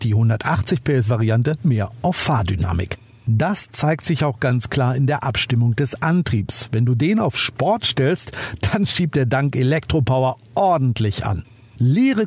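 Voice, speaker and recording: A man's voice: mid-range voice, darkish tone, breathy edge, no echo. A man hosting a car podcast, narrating a scripted review in a chatty way and speaking straight to the listener. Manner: chatty, addresses listener directly.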